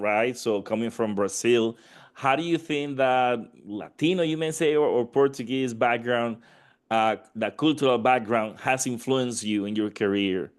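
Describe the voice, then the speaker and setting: A man hosts an interview at an ordinary pitch. He asks long, rambling questions, stopping and restarting as he searches for words. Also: clean tone.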